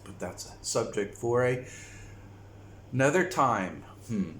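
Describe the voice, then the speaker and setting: A man from the United States talking slowly and reflectively to his viewers in a casual vlog. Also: mid-range voice, animated, fairly bright tone. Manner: slow, reflective, with frequent pauses. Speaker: vlogger. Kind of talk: casual talk to camera. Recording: echoey room with faint noise.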